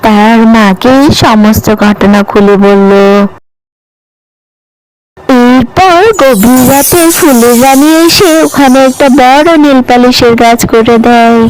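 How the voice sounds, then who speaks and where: A woman's voice: fairly high pitch, slow pace, darkish tone, low-quality recording. A woman narrates a fairy-tale cartoon in a scripted storybook style. She delivers the linking story lines as a narrator standing outside the characters.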